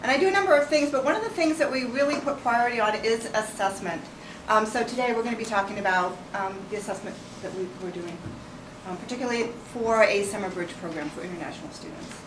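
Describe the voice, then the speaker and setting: A woman from academic support staff introducing herself on a panel, brisk with ums. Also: fairly high pitch. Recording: echoey classroom, distant mic, noisy.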